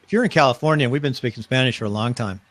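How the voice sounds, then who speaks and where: A man's voice: medium pitch, very fast, a bit bright, no echo. A middle-aged man hosting a casual live-stream interview, chatty and rambling, often restarting his sentences. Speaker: host.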